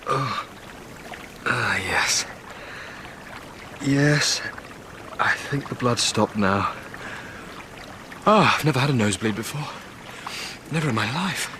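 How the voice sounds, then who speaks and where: A man's voice: medium pitch, animated, takes it slowly, long pauses, noisy background. An actor in a radio drama playing an eager, impressionable young man given to musing aloud. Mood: faint, shaken. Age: young adult. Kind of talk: radio drama acting, interior monologue.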